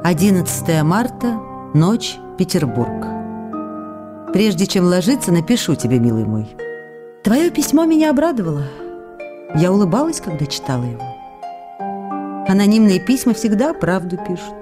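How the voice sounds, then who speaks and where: A woman's voice: lowish voice, moderate pace, over music. A woman acting in a radio drama as an affectionate, teasing actress reading her intimate letters to a faraway lover. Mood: playful, flirtatious. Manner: intimate, coquettish, expressive.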